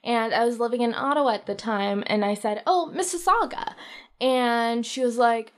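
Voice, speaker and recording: Animated young woman telling a story. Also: fairly high pitch, moderate pace. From Pakistan. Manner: animated storytelling, acts out quoted people. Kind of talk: casual podcast conversation.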